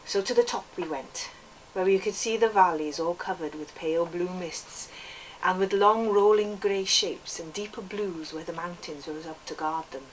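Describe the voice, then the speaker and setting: A woman voicing an earnest Welsh boy narrator from a storybook memoir, with a put-on Welsh accent and a lilting, measured, lyrical delivery. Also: lowish voice, close to an everyday voice. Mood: earnest, wistful. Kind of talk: expressive literary reading. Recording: distant microphone, background noise.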